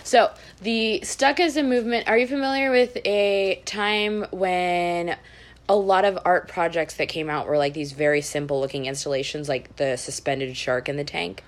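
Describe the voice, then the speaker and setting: A woman chatting casually as a podcast co-host, telling stories in an informal, wry and animated way. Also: fairly low pitch, normal speed. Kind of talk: casual conversational storytelling.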